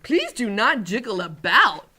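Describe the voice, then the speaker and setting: A woman voicing a simple-hearted, excitable friendly giant from a children's storybook, with folksy mangled grammar and drawn-out exclamations. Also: fairly high pitch, exaggerated voice. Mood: cautioning.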